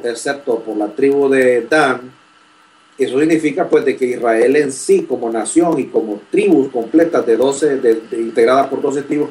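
A man's voice: mid-range voice, a little mellow, recorded from a distance, poor audio. A young man from Honduras, a graduate student politely asking a question, formal and hesitant with many fillers. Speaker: graduate student, first-time participant. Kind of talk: asking a question in an online chat.

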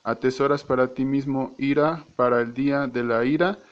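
A man's voice: mid-range voice, steady tone, moderate pace, dull audio. A Mexican man from Mexico leaving a casual voice message, hesitant, often repeating and correcting himself. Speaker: church member.

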